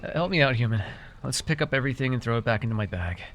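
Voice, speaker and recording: A man's voice: medium pitch, lively intonation, very fast, fairly bright tone. A man playing an easily flustered, teasing but caring fae forest guide in a fantasy roleplay, with exasperated banter. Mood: flustered, bossy. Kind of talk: immersive fantasy roleplay ASMR acting.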